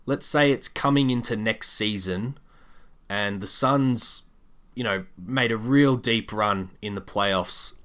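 A man co-hosting a sports podcast, talking through basketball in a casual but measured, explanatory way. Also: on the low side, fairly bright tone.

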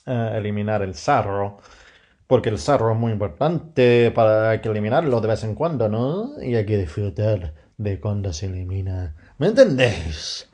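A man speaking Spanish as a second language, rambling casually as a podcast host and often pausing to search for words. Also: on the low side, animated, darkish tone. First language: not Spanish. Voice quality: gravelly, hesitant with many fillers and self-corrections. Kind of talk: casual monologue in a learner's Spanish.

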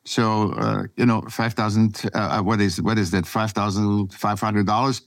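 A man from Austria with an Austrian accent, telling his story off the cuff as a podcast guest, halting and repeating words for emphasis. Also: on the low side, fairly even intonation, dry recording. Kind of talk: spontaneous personal storytelling.

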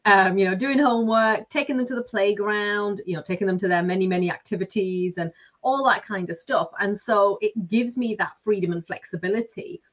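A woman's voice: medium pitch, a little fast, few pauses. A woman in her forties with a Lancashire accent, a business coach talking chattily with lots of fillers. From Lancashire, England. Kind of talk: casual interview talk. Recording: slightly dull lo-fi sound.

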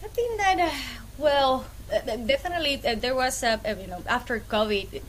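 A woman's voice: high voice, lively intonation, moderate pace, a little hiss or hum. A woman from Puerto Rico with a Puerto Rican accent, chatting casually as a guest in an interview. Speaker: podcast guest, freight brokerage owner.

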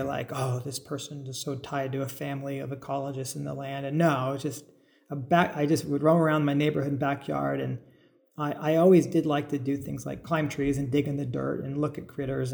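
A man's voice: mid-range voice, creaky, darkish tone. A middle-aged American man giving thoughtful, informal explanations as an interview guest, thinking aloud as he goes. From suburban California, United States. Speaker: podcast guest, biologist turned farmer. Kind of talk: conversational interview answers, explanatory.

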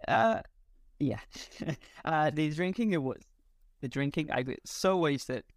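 Smooth-voiced Spanish man, casual and searching. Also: fairly high pitch, animated, takes it slowly, no room sound, studio quality. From Spain. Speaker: interview guest, content creator. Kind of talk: casual conversation.

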